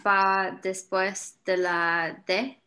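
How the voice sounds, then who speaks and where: An English-speaking woman in a beginner online class, answering carefully over a video call. Her voice is bright and even in pitch, and her delivery is very slow. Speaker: student.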